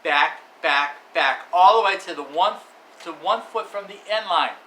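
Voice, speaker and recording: A man voicing a folksy uncle who tells a tall tale around a campfire in a story reading, telling it like excited play-by-play. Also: a little high, a little fast. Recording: echoey room with faint noise.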